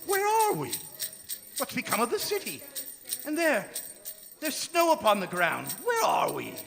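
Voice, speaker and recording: A fearful, regretful old miser in a stage radio play. His high voice swings widely as he stammers and exclaims slowly. It rings in a big hall over music. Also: wildly swooping pitch, creaky, fairly bright tone, exaggerated voice. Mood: amazed. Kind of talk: theatrical radio drama acting. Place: performing arts center stage. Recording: long hall reverb, music underneath.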